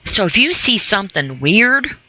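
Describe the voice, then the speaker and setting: Bright-voiced woman, conversational and earnest. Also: medium pitch, very animated, moderate pace, poor audio. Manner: conversational, earnest, rhetorical questions to the listener. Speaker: podcast host reading and commenting on a sobriety e-book. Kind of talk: reading aloud with informal motivational commentary.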